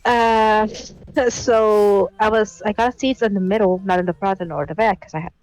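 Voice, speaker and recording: A woman's voice: mid-range voice, fairly even intonation, normal speed, darkish tone, rough recording. A woman in her late teens calling in to tell an embarrassing story, giggling and rambling with many restarts. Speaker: caller. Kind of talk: casual confession story.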